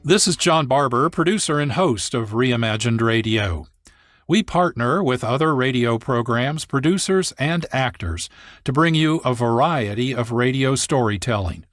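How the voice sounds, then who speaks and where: A man hosting a radio program, reading scripted narration in a measured announcer's delivery. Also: mid-range voice, expressive, fairly bright tone, no echo. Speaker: radio host and producer. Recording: dry studio, music behind.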